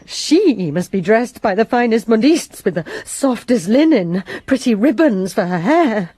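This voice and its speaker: A woman's voice: fairly high pitch, big pitch swings, measured pace, mellow, slightly rough, dry recording. A woman playing a resentful lady's maid who gives her testimony with bitter emphasis in a radio drama.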